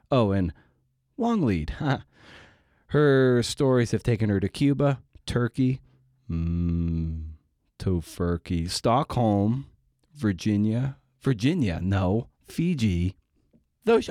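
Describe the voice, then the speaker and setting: A man's voice: lowish voice, mellow, no room sound, clean studio sound. A man hosting a podcast in a rambling, casual way, with a wry, self-deprecating, digressive manner. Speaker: podcast host and interviewer.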